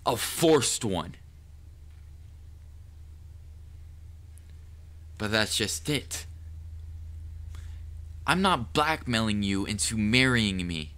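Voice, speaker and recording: Man acting as smitten male assassin, low bright voice, formal measured delivery, quietly menacing, audio roleplay. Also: animated, heavily acted. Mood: earnest, persuading. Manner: formal wording, deliberate, measured. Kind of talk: scripted audio roleplay monologue addressed to the listener.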